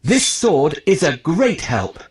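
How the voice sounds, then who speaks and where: A man as an earnest, lively-voiced storybook prince. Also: on the high side, slow. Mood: hopeful. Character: earnest, determined young prince on a quest. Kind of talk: scripted children's storybook acting.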